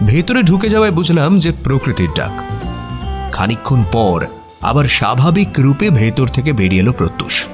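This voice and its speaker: A man acting a detective's puzzled, admiring friend who narrates a mystery audio story in an expressive, suspenseful way. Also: mid-range voice, moderate pace, natural voice. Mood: storytelling. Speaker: narrator and sidekick character. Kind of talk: dramatized first-person audio story narration. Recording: music behind the voice. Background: music.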